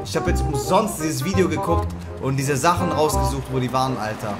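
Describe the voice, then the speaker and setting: Ranting young man over loud game music. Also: medium pitch, fairly quick. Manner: ranting, cursing, exasperated, addresses viewers. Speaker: gaming YouTuber. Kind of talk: angry let's-play commentary. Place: small room at home. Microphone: studio mic on arm with headphones.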